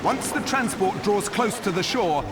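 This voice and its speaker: An actor playing a noble, resolute elven naval commander in a video game, speaking in a formal, declamatory way. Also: male voice, high voice, animated, a little slow, far from the mic, noisy background. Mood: commanding, instructive. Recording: loud music over the voice. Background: loud game music.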